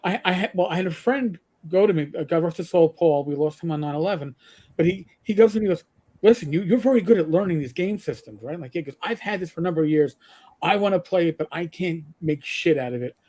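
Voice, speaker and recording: Rambling middle-aged man, higher voice. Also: fast, dark tone. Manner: rambling, stammering restarts, swears. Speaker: livestream co-host. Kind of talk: casual gaming chat.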